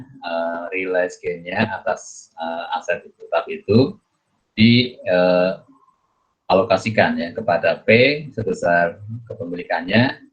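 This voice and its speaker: Low-voiced man lecturing haltingly. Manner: halting, repetitive, punctuates phrases with a checking tag. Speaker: accounting lecturer. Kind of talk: explanatory lecture. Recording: dull, muffled lo-fi audio.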